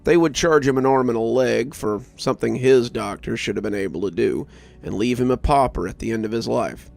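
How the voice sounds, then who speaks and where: A man reading a scripted first-person story as a narrator, in a measured, expressive storytelling manner. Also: mid-range voice, gravelly.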